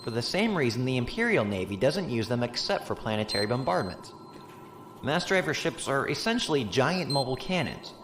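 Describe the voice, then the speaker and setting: An actor playing a candid, informal tactical analyst in a science fiction audio drama, explaining things casually. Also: male voice, medium pitch, big pitch swings, brisk, background noise. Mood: candid, explanatory. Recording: echoey room, faint background ambience.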